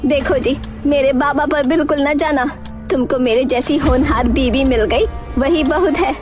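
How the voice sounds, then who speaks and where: A woman playing a stubborn, sharp-tongued older village wife in a cartoon, scolding emphatically. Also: high voice, normal speed, soft background music, lightly acted. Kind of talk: Hindi cartoon story acting.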